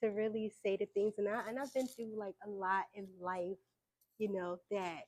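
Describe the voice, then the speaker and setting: A young adult woman, telling her story as an interview guest in a casual, relaxed way with fillers and easy laughs. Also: medium pitch, moderate pace. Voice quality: soft voice. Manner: relaxed, frequent fillers, laughs between points.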